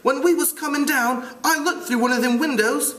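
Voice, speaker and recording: A man's voice: extremely high pitch, normal speed, fairly bright tone, reverberant, voice pushed up. A man voicing a plump, anxious, insistent English schoolboy with a put-on British accent, reading a novel aloud.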